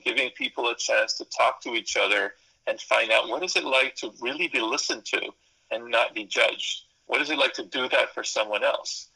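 A man's voice: mid-range voice, gravelly, bright, forceful tone, slightly rough, rough recording. A man whose first language is Spanish, a psychology professor and consultant, explaining ideas in a thoughtful interview, stacking up lists and correcting himself as he goes. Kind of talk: interview answers. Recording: radio interview.

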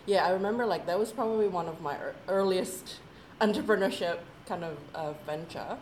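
A woman's voice: lowish voice, fairly quick, slight background noise. Indonesian woman with American-tinged English. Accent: American-sounding English. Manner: chatty, full of fillers and lively retold quotes. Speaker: guest. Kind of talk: casual conversation. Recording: echoey room.

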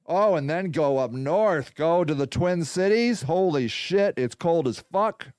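Loud, profane man ranting. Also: fairly high pitch, expressive, a little slow, no echo, clean studio sound. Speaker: podcast host. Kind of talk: casual monologue.

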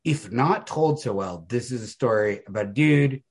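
A man's voice: medium pitch, lively intonation, normal speed, slightly rough. A man co-hosting a podcast, talking casually and earnestly about stories in informal, slangy language with plenty of emphasis. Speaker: podcast co-host. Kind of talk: casual literary discussion.

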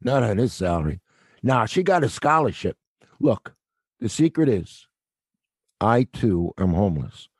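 An actor playing a middle-aged male theater night watchman who is gruff but kind, with a wry, world-weary, fatherly way of talking. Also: on the low side, sing-song, a little slow, pauses often, no echo, clean studio sound, full character voice. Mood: confiding. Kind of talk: audio play acting.

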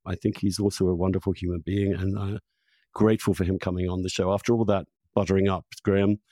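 A man hosting a conversational interview podcast, talking in an effusive, halting way and repeating words for emphasis. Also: sits low, level tone, dark tone, no room sound, clean studio sound. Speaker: podcast host. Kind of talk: conversational interview with long personal introduction.

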